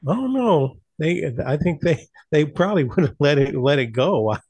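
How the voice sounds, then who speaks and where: A man's voice: a little high, lively intonation, moderate pace, darkish tone, breathy edge, no echo. A man chatting casually as the presenter of a group evening about old radio shows. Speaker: presenter of an online old-time radio night.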